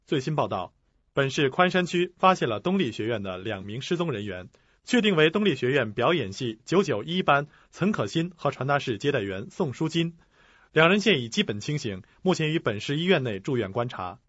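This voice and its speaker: An actor playing a formal television news anchor in an audio drama, on a lo-fi recording. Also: male voice, medium pitch, very animated, a little fast, a bit bright, slightly rough, dry recording. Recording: dull, dry lo-fi recording.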